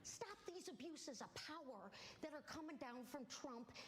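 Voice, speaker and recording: A woman politician answers at a debate in a steady string of talking points. Her voice is a little higher-pitched. Faint noise sits under the replayed broadcast sound. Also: a little slow.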